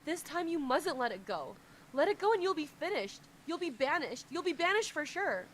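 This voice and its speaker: Fiercely loyal young male servant, read aloud by a woman who talks himself up to loud, desperate cries. His voice sits higher and louder than her own narration. A steady wash of noise runs under the recording. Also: a little fast, natural voice. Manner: pleading, rising to desperate cries. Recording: clear background noise.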